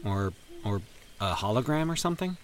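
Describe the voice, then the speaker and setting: A man's voice: lowish voice, very animated, moderate pace. A man voicing a concerned adult man who consoles and puzzles over strange events. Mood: consoling. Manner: consoling, wondering.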